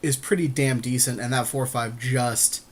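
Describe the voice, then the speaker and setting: Casual man with a mid-pitched voice. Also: steady tone, moderate pace. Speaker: podcast co-host. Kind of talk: casual chat while drafting a card game.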